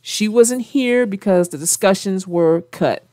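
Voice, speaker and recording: A woman's voice: medium pitch, measured pace, warm tone, no echo, studio quality. A woman hosting a children's read-aloud, narrating a storybook and chatting casually about it.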